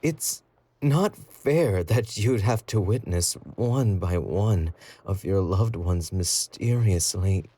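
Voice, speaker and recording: Guy acting as creepy sweet yandere boyfriend, low breathy voice, talks super slow, possessive and cooing, roleplay audio. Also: dark tone. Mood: unsettlingly sweet. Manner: slow, intimate, cooing with giggly menace. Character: obsessive, possessive yandere boyfriend, doting yet menacing. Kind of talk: romantic roleplay audio drama.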